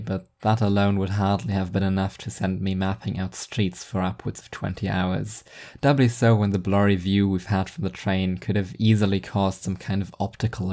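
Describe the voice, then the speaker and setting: A man's voice: on the low side, mellow. A man reading first-person fiction narration in an introspective, wry manner.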